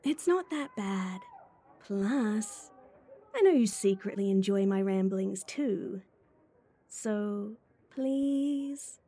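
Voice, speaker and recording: A woman's voice: medium pitch, animated, very slow, dark tone, breathy edge, exaggerated voice. A woman voice actor playing a playful, teasing, clingy schoolgirl childhood friend in a chatty ASMR roleplay. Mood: pestering, pleading.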